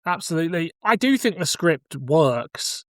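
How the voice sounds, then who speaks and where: A man's voice: on the high side, very animated, unhurried, fairly bright tone, no echo, clean studio sound. A man hosting a podcast, chatting casually through a review and thinking aloud as he hedges his points. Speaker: podcast host.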